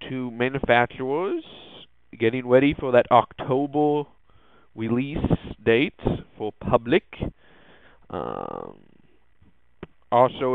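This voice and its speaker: A man's voice: medium pitch, smooth, no echo. Man podcasting over phone-like, muffled audio. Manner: halting, hesitant delivery. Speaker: podcast host. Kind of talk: casual tech news podcast talk.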